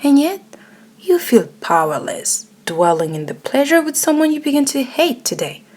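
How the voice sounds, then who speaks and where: A woman's voice: sits high, big pitch swings, moderate pace, a little mellow. A woman narrating a scripted ASMR roleplay story, addressing the listener directly. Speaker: narrator and voice actor.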